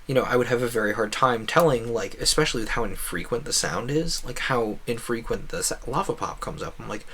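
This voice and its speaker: A man's voice: medium pitch, animated, a little fast, hardly any pauses, a bit bright, a little hiss or hum. A man giving casual gameplay commentary as a streamer, thinking aloud in a wordy, meandering way. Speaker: game streamer.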